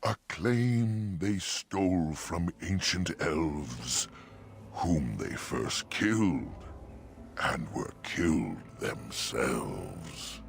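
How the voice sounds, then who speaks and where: An actor playing an ancient talking oak tree in a fantasy game, solemn and mournful, speaking in archaic rhyming verse with a gravelly voice. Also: male voice, animated, very slow, heavily acted. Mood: slow, wistful. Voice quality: deep, gravelly. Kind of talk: fantasy video game character acting.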